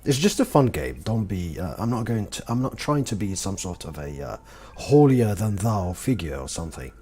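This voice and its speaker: Deep, calm, slow-talking man. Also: slightly rough. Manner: slow, calm, deliberate talk. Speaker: streamer. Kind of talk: casual gaming stream chat.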